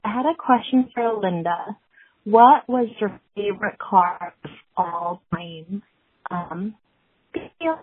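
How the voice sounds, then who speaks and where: A woman caller leaving a voicemail over a narrow, muffled phone line. She speaks very slowly with a rough, unclear voice at a medium pitch, asking her question simply. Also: no echo. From California, United States.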